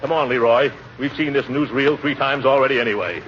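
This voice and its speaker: An actor playing a pompous, blustering middle-aged uncle in 1940s radio comedy style. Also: male voice, medium pitch, a little fast, lightly acted. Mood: genial, resigned. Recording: noisy, dull old radio recording.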